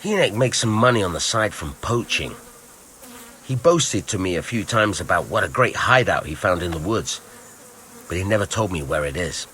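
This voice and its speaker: A guarded village tanner in a video game. The voice is low and bright-edged, with lively swings and plain rustic phrasing. Faint insect noise sits behind it. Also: male voice, moderate pace, exaggerated voice. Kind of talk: video game cutscene acting.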